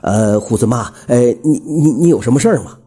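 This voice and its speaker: Storyteller doing a husky, stammering village bachelor. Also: medium pitch, huge pitch swings, normal speed, warm tone, soft background music, full character voice. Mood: flustered. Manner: stammering, hesitant. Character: timid, flustered, simple village bachelor man.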